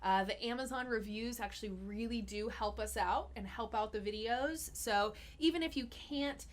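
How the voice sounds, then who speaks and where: A woman's voice: on the high side, moderate pace. A young woman hosting a casual live-stream Q&A as a health coach, chatty and giggly with lots of fillers. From Austin, Texas.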